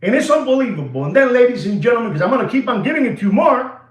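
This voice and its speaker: A man's voice: extremely high pitch, rapid-fire. A man, ranting casually to viewers in a rambling way and slipping into Spanish phrases. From New York, USA. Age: 60s. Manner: animated and rambling, mixes in Spanish phrases, addresses viewers as "papi". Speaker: watch dealer, show host. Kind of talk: casual rant to camera. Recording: echoey room.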